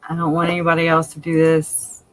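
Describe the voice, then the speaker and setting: A woman's voice: low-pitched, completely flat delivery, a little fast, low-quality recording. Woman, Cajun accent, country-sounding, candid, laughs a lot, live chat co-host. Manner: chatty and candid, laughs often. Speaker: livestream co-host.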